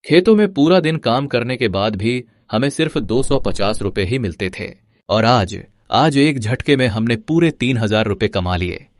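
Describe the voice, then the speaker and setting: A man's voice: mid-range voice, animated, normal speed, no echo, clean studio sound, natural voice. A man voicing a crafty, greedy village sidekick in a cartoon story, speaking in an emphatic, scheming way. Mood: gleeful. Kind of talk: Hindi cartoon moral story dialogue.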